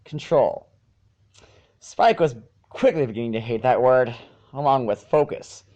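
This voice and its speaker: A man's voice: medium pitch, measured pace, a little mellow. A man reading a story aloud as an amateur narrator, with occasional stumbles and repeats. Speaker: fan fiction reader.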